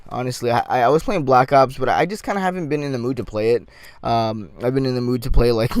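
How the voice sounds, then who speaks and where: A man giving casual gameplay commentary, slightly raspy from a sore throat, rambling with lots of ums and restarts. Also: medium pitch, darkish tone, dry recording.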